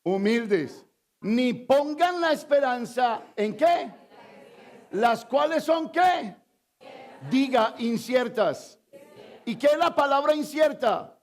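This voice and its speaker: High-voiced Colombian pastor preaching. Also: male voice, expressive, slow. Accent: Colombian Spanish. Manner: call-and-response preaching, asks the congregation to fill in words; swings between loud emphatic shouts and low confiding asides. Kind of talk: sermon.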